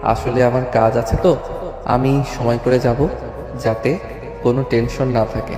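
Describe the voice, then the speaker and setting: Casting: stubborn young husband, played by a man, monotone, medium pitch, terse, in an echoey space over music. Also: measured pace, close to an everyday voice. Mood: calm, firm. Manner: flat, firm, terse. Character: curt, stubborn young husband, cool and protective. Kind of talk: audio story drama. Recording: echoey reverberant hall, music underneath.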